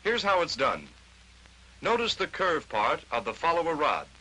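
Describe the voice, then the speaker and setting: Bright, forceful 1940s training film narrator, male. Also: a little high, wildly swooping pitch, moderate pace, a little hiss or hum, poor audio. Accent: American. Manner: clear step-by-step explanatory delivery, addressing the viewer. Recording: old 1940s film soundtrack. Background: voice-over on a training film.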